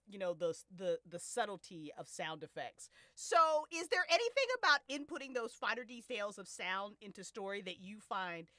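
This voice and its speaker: A woman's voice: on the high side, pushed and bright, no room sound, studio quality. A woman hosting a casual podcast discussion, talking in a playful way and swearing freely. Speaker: host of podcast cast-and-crew listening event. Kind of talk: casual chat.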